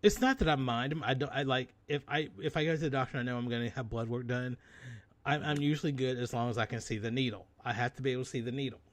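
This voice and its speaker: A man co-hosting a podcast in casual, bawdy chat, telling stories in a sassy, animated way. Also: mid-range voice, fast. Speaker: podcast co-host.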